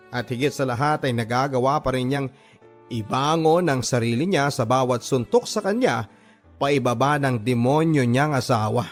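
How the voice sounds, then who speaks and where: Filipino man narrating sentimental drama over music. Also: mid-range voice. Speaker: radio drama narrator reading a letter-sender's story. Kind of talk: dramatic scripted first-person storytelling, radio love-story drama. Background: background music.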